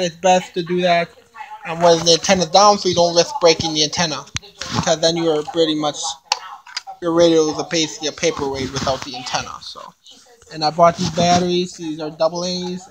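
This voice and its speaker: A young man giving a casual unboxing demonstration, explaining things in a halting, repetitive way into a handheld camera. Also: on the high side, rough recording. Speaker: hobbyist video maker. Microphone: handheld camera, no tripod.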